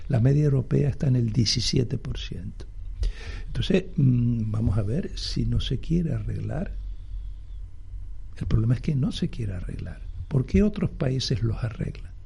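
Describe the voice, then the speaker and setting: An older male doctor, interviewed on radio, speaking in a halting way with many restarts and fillers. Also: mid-range voice, expressive, mellow. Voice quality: gravelly, husky. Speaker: doctor and health advocacy guest. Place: radio studio.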